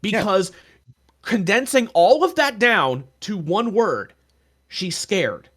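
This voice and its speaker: A man's voice: high-pitched, very animated, slow pace, a bit bright. A man co-hosting a casual discussion of tabletop roleplaying, telling stories in an earnest, emphatic way. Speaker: podcast co-host.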